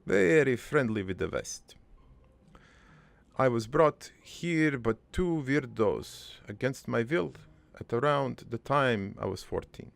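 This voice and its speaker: Man playing Joey, a slow, gravelly rambling dad. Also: mid-range voice, no echo. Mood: rambling, wry. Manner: rambling, halting, deadpan storytelling. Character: rambling, crude, wistful foreign-born immigrant father named Joey. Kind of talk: improvised character monologue for a cartoon.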